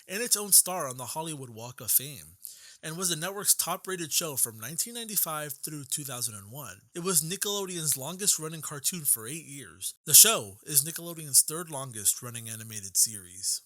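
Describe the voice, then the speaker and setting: Casting: male video essay narrator, scripted delivery, very bright and forceful, lively pitch swings, dry close sound. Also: normal speed, no echo.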